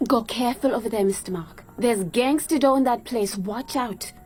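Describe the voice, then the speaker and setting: Radio drama support, female actor: Jamaican woman, higher pitch, earnest, folksy, protective. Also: moderate pace, a little mellow, a little hiss or hum, natural voice. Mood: worried, urgent. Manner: earnest, colloquial. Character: anxious, warm-hearted Jamaican young woman giving warning.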